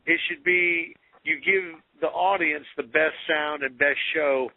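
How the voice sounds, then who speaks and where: A man's voice: a little high, unhurried, creaky, dry recording, narrow, tinny audio. A man from North Carolina, a metal band member, chatting casually in a radio interview and telling stories. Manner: storytelling, animated impressions.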